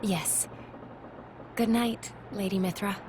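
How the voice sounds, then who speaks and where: A woman's voice: medium pitch, fairly even intonation, slow, background noise, lightly acted. A woman voicing a serene, courteous envoy in a video game, speaking formally and deferentially. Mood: gentle, polite. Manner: formal, polite, gracious. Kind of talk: video game cutscene acting.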